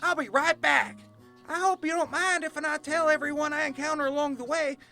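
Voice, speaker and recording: A performer playing a young, sarcastic, excitable country character with folksy phrasing in a comedy audio drama. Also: high-pitched, brisk, faint music behind. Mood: amazed, excited. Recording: faint ambient noise behind.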